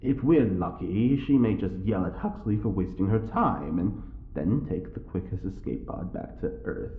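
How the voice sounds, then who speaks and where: An actor playing a smug, well-bred prisoner with a sly wit, speaking in an arch, mock-polite drawl in an audio drama. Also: male voice, deep voice, lively intonation, moderate pace, roomy sound, narrow, tinny audio, a little hiss or hum. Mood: sly, persuasive. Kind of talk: scripted audio drama acting.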